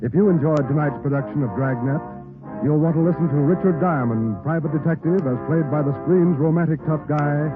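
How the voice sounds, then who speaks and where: A man's voice: a little high, fairly quick. A man announcing formally and solemnly in a scripted old-time radio style. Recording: muffled old radio recording with music.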